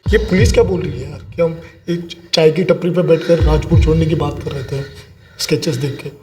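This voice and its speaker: A man acting as a casual young suspect in a TV crime drama. His voice is a little high but soft-edged and mellow, his talk informal and self-justifying. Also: fairly quick, close to an everyday voice. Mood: worried.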